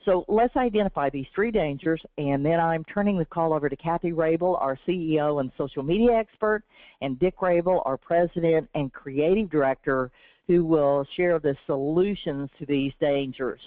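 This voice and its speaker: Hoarse woman hosting a webinar over the phone. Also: sits low, moderate pace, no room sound. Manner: reads from a script in an earnest, encouraging tone. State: sore throat, slightly raspy. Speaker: webinar host from a business development team. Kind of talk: scripted webinar presentation. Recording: tinny, dry phone-line audio.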